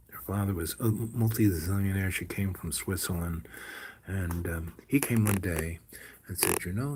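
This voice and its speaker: A seventy-year-old man chatting loosely, his low voice drifting down and fading at the end of each thought. A faint background hiss sits under the recording. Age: 70s. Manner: rambling, trails off quietly at ends of phrases. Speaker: local man in conversation. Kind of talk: casual chat.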